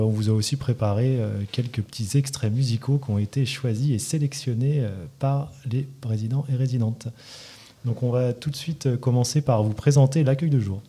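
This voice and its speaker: A man's voice: mid-range voice, mellow. A man presenting a community radio show, often hesitating as he speaks. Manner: frequent hesitations with filler sounds. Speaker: radio presenter, care worker.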